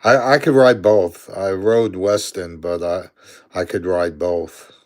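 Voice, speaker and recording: A Brooklyn-accented man in his sixties talks slowly and easily in a lowish voice. He is chatting on a live stream, answering viewer comments aloud and chuckling often between replies. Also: fairly even intonation, a little mellow. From Brooklyn, New York. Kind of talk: casual live-stream chat.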